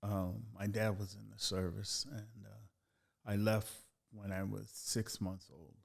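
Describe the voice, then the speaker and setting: A very low, gravelly male voice from an older man who grew up after leaving Texas as a baby. He talks about his life in an unhurried way, drifting through his memories and filling the pauses with quiet yeahs. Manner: relaxed, repeats "yeah" often, chuckles at his own stories. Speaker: guest artist. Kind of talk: casual storytelling interview.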